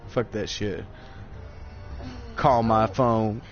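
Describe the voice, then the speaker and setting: A man's voice: lowish voice, level tone, drawn-out words, music in the background. A man chatting casually and telling a long, profane story about a scam call, swearing freely and rambling. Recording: dull lo-fi sound with faint noise.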